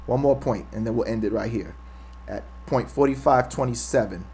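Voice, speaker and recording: A man's voice: mid-range voice, moderate pace, a little mellow, slight background noise. A man hosting his own commentary show, arguing emphatically and repeating his points with frequent rhetorical "right?". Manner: emphatic, repetitive, argumentative. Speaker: host. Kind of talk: opinion commentary on a clip.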